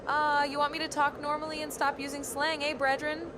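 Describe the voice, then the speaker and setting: A woman's voice: high-pitched, flat delivery, brisk, background noise. A woman's voice reading chat requests and stiff, formal replies, repeating the same odd word of address. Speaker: chat-command voice reading messages.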